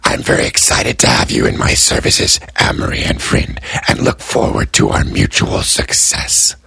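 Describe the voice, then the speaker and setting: A man playing an eager, scheming male tally keeper who talks in a breathy, half-whispered way. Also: extremely low pitch, wildly swooping pitch, moderate pace, pushed and bright, dry recording, pitched below the performer's own voice, heavily acted. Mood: pleased. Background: music.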